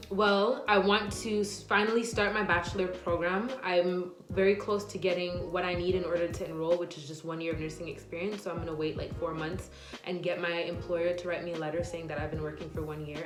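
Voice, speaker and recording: Young woman in her 20s, lowish voice, rambling casual vlogger delivery, echoey room. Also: flat delivery, brisk. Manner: chatty, rambling, many "like" and "um" fillers. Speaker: nurse and vlogger. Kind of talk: casual Q&A vlog, reading viewer questions. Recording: echoey room with faint music.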